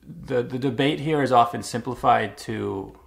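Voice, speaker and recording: A Canadian man vlogging, speaking in a measured, thoughtful way with frequent uhs and small restarts. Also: mid-range voice, vocal fry. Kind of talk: reflective personal vlog. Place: Montreal.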